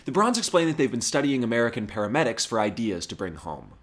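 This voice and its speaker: A man's voice: mid-range voice, very animated, fast. A man giving sarcastic, wisecracking scripted commentary as he recaps a show. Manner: mocking, wisecracking. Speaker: comedic reviewer narrating an episode summary. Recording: music behind the voice. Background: music.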